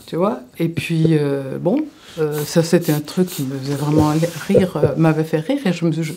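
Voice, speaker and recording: Gravelly older woman, mellow and hesitant. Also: sits low, normal speed, slight background noise. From Alsace, France. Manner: hesitant, searching for words, many restarts. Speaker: former teacher. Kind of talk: reflective interview answers.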